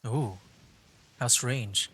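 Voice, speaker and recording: A man's voice: medium pitch, drawn-out words, bright, forceful tone, slightly rough. A man streaming a game, giving casual commentary and reading bits of dialogue aloud.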